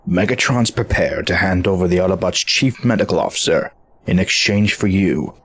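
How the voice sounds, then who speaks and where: Man acting an earnest low-voiced robot hero. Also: animated, normal speed, husky. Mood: curious, earnest. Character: earnest, principled robot hero leading a squad. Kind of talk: audio drama acting.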